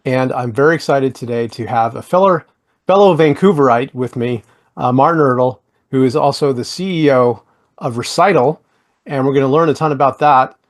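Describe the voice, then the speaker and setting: A man's voice: mid-range voice, normal speed. A man hosting a podcast interview, talking in a friendly, chatty way with many fillers like "like" and "you know". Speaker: podcast host. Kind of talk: casual interview chat.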